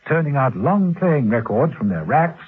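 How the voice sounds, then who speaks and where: A man's voice: medium pitch, big pitch swings, normal speed, heavy background noise. A man narrating a classic radio spy drama in a theatrical, suspenseful and arch manner. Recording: muffled, husky-sounding old radio recording.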